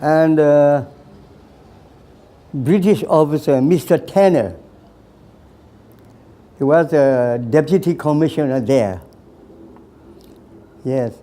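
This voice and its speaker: A man in his nineties from Burma, slowly telling his life story with hesitant pauses and repeated phrases. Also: a little high, warm tone. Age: 90s. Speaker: veteran recounting his life. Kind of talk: slow personal storytelling.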